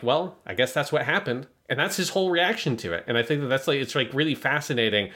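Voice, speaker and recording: A man's voice: medium pitch, fairly bright tone. A man co-hosting a podcast, casually talking through an in-depth review in a rambling, hesitant way with frequent swearing. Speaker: podcast co-host.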